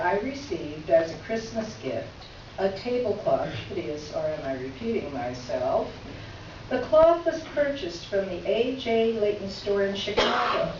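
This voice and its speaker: A woman's voice: medium pitch, a little mellow, close to an everyday voice. A woman performing a readers theater piece as an exasperated, sarcastic writer reading out her complaint letter with emphatic delivery. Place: reverberant room, recorded from a distance. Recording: echoey room, distant mic, muffled, faint noise.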